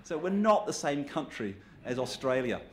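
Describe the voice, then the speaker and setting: A man's voice: mid-range voice, sing-song, moderate pace, slight background noise. A man from New Zealand with a New Zealand accent gives a public talk in a conversational, good-humoured way, with light self-deprecating asides. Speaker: guest speaker.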